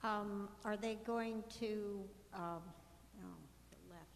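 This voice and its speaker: A woman resident at a community meeting, asking loud, pressing questions. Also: medium pitch, fairly even intonation, takes it slowly, a little hiss or hum. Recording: reverberant hall, faint background.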